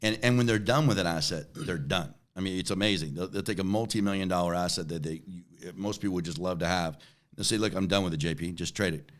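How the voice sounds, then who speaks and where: Deep-voiced man in his forties. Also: fast talker. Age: 40s. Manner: repeats words, often checks in with "right?". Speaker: interview guest, company chief executive. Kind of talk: conversational interview answers.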